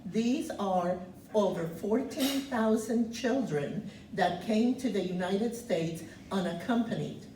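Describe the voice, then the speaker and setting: A woman in her seventies from Cuba, speaking loudly and earnestly as she tells her life story, pausing on many fillers. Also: mid-range voice, animated, a little hiss or hum. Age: 70s. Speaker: guest speaker sharing her refugee story. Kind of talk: personal testimony talk. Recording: roomy, distant mic, faint ambience.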